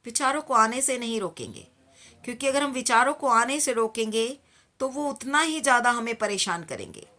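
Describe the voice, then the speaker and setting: Female meditation guide: higher-pitched, clear-edged bright tone, calm and soothing instructional delivery. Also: normal speed. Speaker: meditation teacher.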